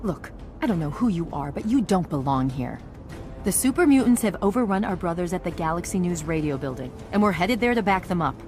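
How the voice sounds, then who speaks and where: A woman's voice: on the low side, animated, fairly quick, over music, natural voice. An actress playing a no-nonsense woman soldier in a game, curt, commanding and a little scornful. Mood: brusque, urgent. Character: brusque, no-nonsense woman soldier in ruined city.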